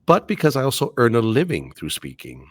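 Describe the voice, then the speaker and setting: A man's voice: medium pitch, huge pitch swings, a little fast, slightly rough, no echo, clean studio sound. A middle-aged man, a native Spanish speaker and English teacher, speaking articulately with careful diction in a casual podcast interview.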